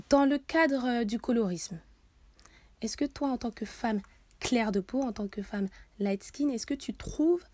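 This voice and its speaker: A woman's voice: a little high, mellow, no room sound. A young woman hosting a podcast interview, asking long, earnest questions with frequent fillers. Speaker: podcast host.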